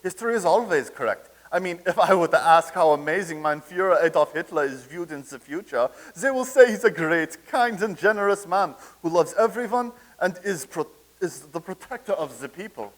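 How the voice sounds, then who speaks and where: Brief: male actor as pompous dictator loyalist, stammering bluster, high tenor. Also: animated, normal speed. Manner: blustering, stammers when challenged. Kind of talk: staged reading.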